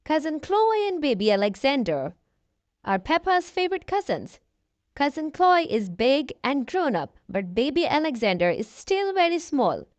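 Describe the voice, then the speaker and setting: Woman doing read aloud kids story, high voice, very up and down, close dry sound. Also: moderate pace, dry recording. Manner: addresses young children directly, expressive storytelling. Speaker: children's storybook reader, channel host.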